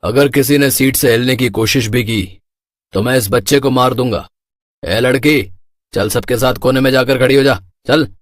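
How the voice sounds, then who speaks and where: A man playing a bullying, menacing hijacker in a cartoon horror story, with a forceful threatening manner. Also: medium pitch, normal speed, no room sound, studio quality, close to an everyday voice. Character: menacing male hijacker, bullying but easily scared.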